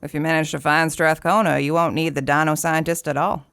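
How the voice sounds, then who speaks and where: A woman's voice: low-pitched, flat delivery, a little fast, fairly bright tone, no echo. An actress playing an elderly, folksy small-town woman, teasing and sardonic, in an audio drama. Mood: sly, coaxing. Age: older. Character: sly, folksy, gun-toting elderly desert townswoman.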